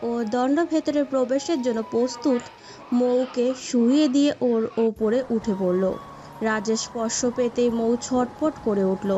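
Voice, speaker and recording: A woman's voice: fairly high pitch, normal speed, dark tone, a little hiss or hum. A woman reading a scripted story as its narrator. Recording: dull muffled sound with music behind.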